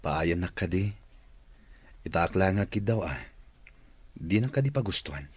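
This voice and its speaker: Earnest, smitten boss for radio drama: low, slightly husky, Ilocano accent, dry recording over music. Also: male voice, very animated, measured pace, no room sound, exaggerated voice. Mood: insistent, coaxing. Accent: Filipino, Ilocano. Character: smitten male office boss, earnest and insistent suitor.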